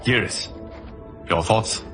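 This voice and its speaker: An actor playing a noble, resolute protector god and war leader in a video game cutscene, with a formal, authoritative, earnest delivery. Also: male voice, slow, fairly bright tone, soft background music. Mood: skeptical.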